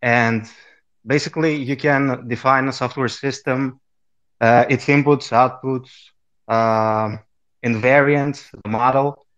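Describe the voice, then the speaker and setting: A man's voice: medium pitch, steady tone, slow pace, fairly bright tone, lo-fi sound. A man from Greece with a Greek accent asking a question on a live audio call, hesitant and apologetic with many uhs. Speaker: caller.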